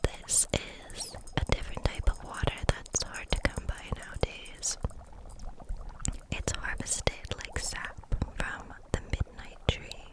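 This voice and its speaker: A woman whispering an ASMR roleplay as a gentle, kindly kitchen witch, soothing and unhurried in her manner.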